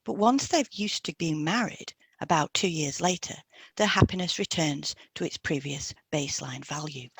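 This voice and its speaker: Husky woman, slightly low-pitched. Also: moderate pace, no room sound. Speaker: podcast co-host and hypnotherapist. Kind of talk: scripted educational narration, read aloud.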